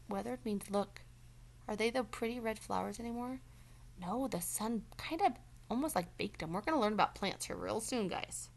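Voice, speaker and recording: A woman's voice: mid-range voice, gravelly, a little hiss or hum, low-quality recording. A woman reading a children's story aloud as a teacher, expressively, with simple explanatory asides to young listeners.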